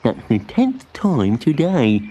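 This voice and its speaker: A doddery old male mole from a storybook, acted by a woman. The speech is fussy, muttered and drawn out, slow and full of wide swings in pitch. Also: huge pitch swings, dark tone, breathy edge, highs cut off, exaggerated voice. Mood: muddled. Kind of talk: storybook character voice.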